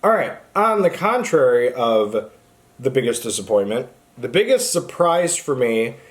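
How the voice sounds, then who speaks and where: Male book vlogger, medium pitch, informal and opinionated, unscripted chat straight to the audience. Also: sing-song, unhurried. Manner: informal, opinionated, frequent "like" and "honestly". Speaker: book reviewer, video host.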